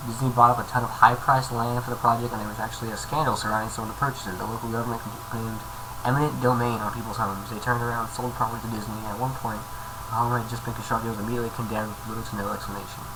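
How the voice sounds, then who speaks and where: A man's voice: medium pitch, little pitch movement, racing. A man casually reading a horror story aloud, stumbling over words and adding jokey asides. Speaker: creepypasta reader, video host. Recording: noisy amateur home recording.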